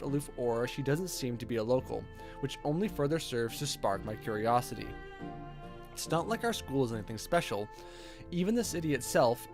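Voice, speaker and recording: A man streaming a visual novel, reading its narration aloud and adding casual commentary. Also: mid-range voice. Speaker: game streamer. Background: game music.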